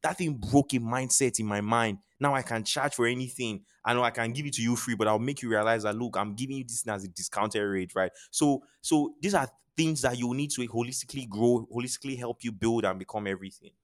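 A man's voice: medium pitch, fast talker, hardly any pauses. A man with a Nigerian accent speaking as a podcast guest in an earnest, motivational way, repeating phrases for emphasis. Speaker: podcast guest, charity founder. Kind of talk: motivational interview talk.

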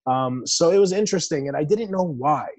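A man's voice: on the high side, expressive, brisk, a little mellow, no room sound. A man in his forties, born in Iran and raised in the U.S., telling his life story as an interview guest in an earnest, reflective way. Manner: earnest, reflective, many restarts. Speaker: guest, bodybuilder.

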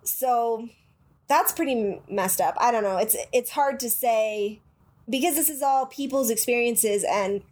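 Woman host, stuffy-nosed from a cold, animated casual chat, slightly higher voice. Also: unhurried. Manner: swearing, animated, acts out quoted voices. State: nasal from a cold. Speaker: podcast co-host.

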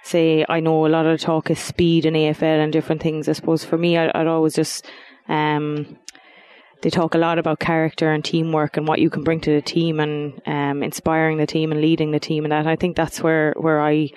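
Smooth flat-voiced young woman, hesitant. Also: low voice, fairly quick. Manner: hesitant, modest, many restarts. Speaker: interviewee footballer. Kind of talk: casual interview answers.

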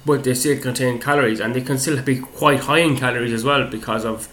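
A young man talks at a brisk pace, hosting his own fitness show. His voice is a little croaky from a cold. He rambles loosely and swears now and then in a casual way. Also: medium pitch, fairly even intonation, fairly bright tone, a little hiss or hum. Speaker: fitness podcast host. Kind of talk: casual solo chat.

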